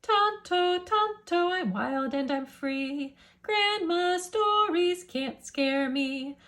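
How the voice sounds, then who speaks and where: Woman as a high-singing bold little boy. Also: expressive, takes it slowly, fairly bright tone, exaggerated voice. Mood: singing, defiant. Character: bold, carefree small boy singing fearlessly. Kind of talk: singsong picture-book character voice.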